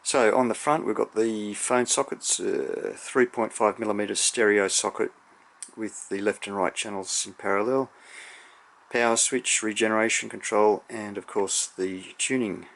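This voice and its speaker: A man with a low, noticeably creaky voice presents a hands-on electronics demonstration. He explains things in a measured, slightly hesitant, unscripted manner, as a hobbyist would. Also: steady tone, a bit bright.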